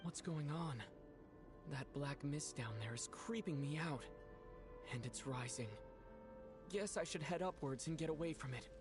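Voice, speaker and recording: A man's voice: on the high side, unhurried, pauses often, music in the background, natural voice. Actor as a lightish-voiced, puzzled young male traveler. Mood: uneasy, curious. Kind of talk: video game voice acting.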